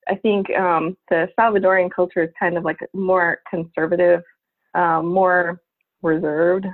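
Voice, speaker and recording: A woman in her forties from New York, a podcast guest chatting casually with lots of fillers and pauses. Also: lowish voice, flat delivery. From Long Island, New York. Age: 40s. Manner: rambling, frequent fillers and laughs. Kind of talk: casual interview chat. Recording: phone-like narrow sound.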